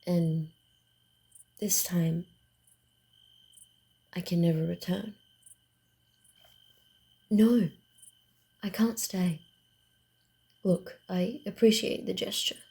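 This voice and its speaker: A sly, secretive female vampire played by a woman speaks in an unhurried, hushed ASMR roleplay style. Her voice is fairly low with plenty of gravelly fry. She is theatrical and deliberate, teasing yet guarded. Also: steady tone, exaggerated voice. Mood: hesitant, guarded.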